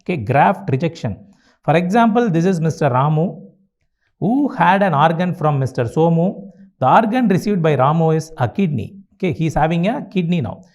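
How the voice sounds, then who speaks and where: High-pitched Indian man lecturing. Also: animated, normal speed, a little mellow. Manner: didactic, repeats key phrases, asks and answers own questions. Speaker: medical lecturer teaching exam review. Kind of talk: exam-review lecture.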